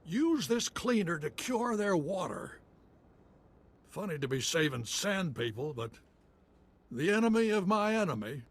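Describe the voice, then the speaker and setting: High-pitched, husky frontier commander. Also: male voice, big pitch swings, measured pace, exaggerated voice. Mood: grim, resolved. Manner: folksy, clipped colloquial speech. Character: wry frontier militia commander resisting an empire. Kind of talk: video game voice acting.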